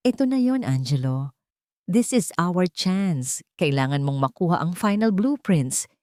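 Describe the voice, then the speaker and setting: A woman's voice: fairly low pitch, lively intonation, measured pace, a little mellow, no room sound, clean studio sound. A woman voicing an ambitious, scheming female lover with an urgent, persuasive manner in an audio drama story. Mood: eager, urgent.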